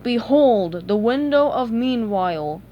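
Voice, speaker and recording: A woman voicing a mystical, laid-back male talking tumbleweed with a measured, cryptic way of speaking. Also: a little high, expressive, dull audio, a little hiss or hum. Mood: serene. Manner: cryptic, measured, mildly exasperated.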